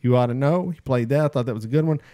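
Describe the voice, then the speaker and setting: A man's voice: medium pitch, racing, dark tone, no room sound. A man hosts a casual podcast with an upbeat, chatty delivery and a mid-range voice. The sound is close and dry, with no room around it. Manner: upbeat, reading out listener posts. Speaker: podcast host.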